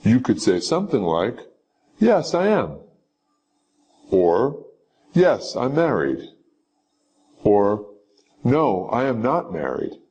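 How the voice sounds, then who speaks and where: Male teacher voice, unhurried and husky, lively intonation, reads model answers. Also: medium pitch, lo-fi sound. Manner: slow, measured, instructive. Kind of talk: scripted example answers for a citizenship interview.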